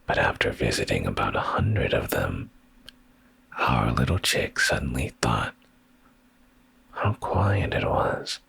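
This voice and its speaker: A man softly reading a bedtime story in ASMR style, in a deep, gravelly, breathy voice that often slips into a whisper, drowsy and soothing, close to the microphone. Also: sing-song, slow pace, pauses often.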